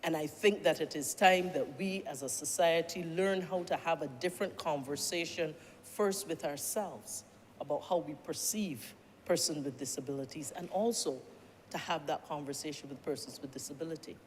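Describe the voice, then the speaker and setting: A middle-aged government minister with a Barbadian accent gives a formal, deliberate parliamentary speech, often addressing the chair and building points through repeated questions. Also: mid-range voice. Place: parliament chamber. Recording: long-reverb chamber with faint noise.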